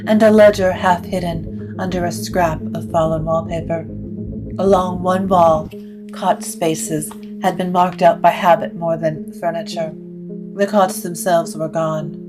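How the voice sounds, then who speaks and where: Slow, lowish female gothic narrator. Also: low-quality recording. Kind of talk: scripted gothic story narration.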